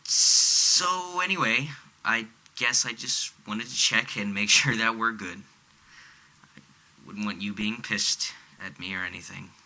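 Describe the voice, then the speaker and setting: A man playing a smarmy, uneasy supervillain leaving a halting, hedging voicemail in an audio drama. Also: on the low side, pushed and bright, exaggerated voice, rough recording. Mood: uneasy, wheedling.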